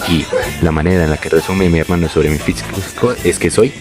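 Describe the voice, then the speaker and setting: A young man reading a scripted, reflective personal narration in a measured, literary way. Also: sits low, steady tone, over music. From born in Venezuela, moved to Colombia. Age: young adult. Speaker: narrator of his own life story.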